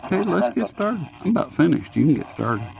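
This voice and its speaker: A man's voice: high-pitched, sing-song, a little fast, vocal fry, background noise. A man chatting casually through a hands-on gadget review in a rambling, folksy, self-mocking way, as a hobbyist reviewer.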